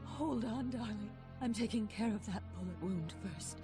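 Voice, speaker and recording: An actress playing a distressed, tender wife in melodramatic, cheesy video game voice acting. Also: female voice, mid-range voice, natural voice. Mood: anxious, tender. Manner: slow, melodramatic. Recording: loud game music over the voice.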